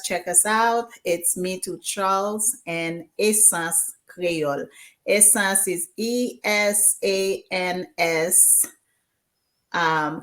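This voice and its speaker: A woman in her forties from Haiti talks with a slow, unhurried pace and a somewhat low voice. She chats easily as a guest on a call-in show, moving between English and Haitian Creole with plenty of ums. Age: 40s. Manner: warm, chatty, mixes English with Haitian Creole, many ums. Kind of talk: casual interview chat.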